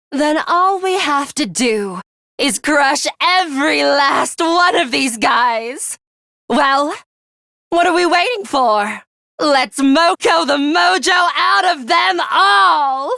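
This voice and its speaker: A young female battle commander from a video game. She speaks slowly in a fairly high voice, haughty and sadistic, commanding with disdain. The sound is dry and close. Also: lively intonation, vocal fry, pushed and bright, no room sound, full character voice. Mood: taunting.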